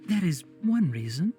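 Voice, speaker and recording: A young male forest ranger from a video game, knowledgeable and practical. He explains things precisely in a lively, varied intonation with a dry, wry edge. Soft music sits behind the voice. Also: sits high, full character voice.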